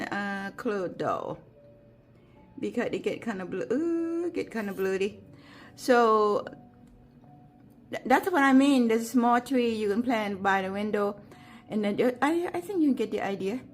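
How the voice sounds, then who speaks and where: A woman with a Thai accent, a native Thai speaker, giving an unscripted walking tour of her garden in a gentle, halting way, with many fillers and restarts. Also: fairly high pitch, animated, soft background music. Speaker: gardening vlogger.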